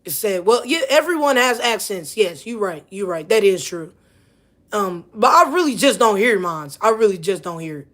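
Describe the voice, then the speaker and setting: A woman's voice: medium pitch, expressive, moderate pace, fairly bright tone. A woman with a Missouri accent, ranting casually to camera, heated and profane, repeating herself. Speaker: vlogger.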